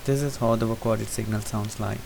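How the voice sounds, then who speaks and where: A man giving an unscripted, methodical software tutorial, explaining each step as he goes. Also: on the low side, fairly quick, vocal fry, faint music behind. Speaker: presenter demonstrating software. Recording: hissy recording with steady background noise. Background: hiss.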